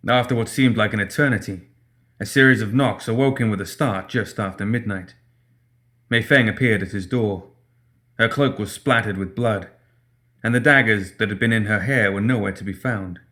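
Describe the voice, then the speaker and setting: A male narrator with a fairly low voice reads a dramatic short story. His delivery is scripted storytelling, as in an audiobook. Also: normal speed, fairly bright tone.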